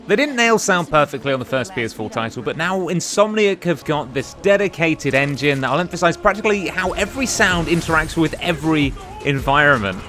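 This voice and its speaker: A man's voice: on the high side, fairly bright tone. A man narrating a scripted video game countdown as a reviewer, with an emphatic presenter's delivery. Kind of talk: scripted narration of a top five games list.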